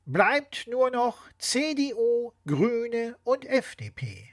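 A woman's voice: fairly high pitch, big pitch swings, slow. A woman co-presenting a scripted explainer dialogue.

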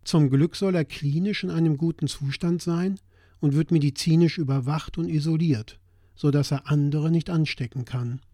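Man acting as wondering citizen, concerned, slightly high male voice, scripted satirical audio play, dry sound. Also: moderate pace, warm tone, dry recording, close to an everyday voice. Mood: matter-of-fact. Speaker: audio play dialogue speaker. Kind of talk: scripted satirical dialogue on current affairs.

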